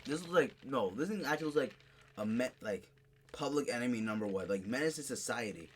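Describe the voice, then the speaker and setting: A man doing live reaction commentary in casual slang, excitable and prone to repeating his exclamations. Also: medium pitch, fairly quick, slight background noise. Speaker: streamer reacting to a horror video.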